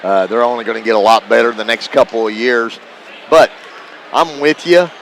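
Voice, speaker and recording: A man with a medium-pitched voice chats as the analyst on a live basketball radio show. He is plain-spoken and folksy, and faint gym ambience sits behind him. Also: moderate pace, vocal fry, a bit bright, slight background noise, rough recording. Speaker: radio broadcast partner, analyst. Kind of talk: live sports commentary chat. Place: corner perch in a school gymnasium. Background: gym ambience, bouncing basketballs.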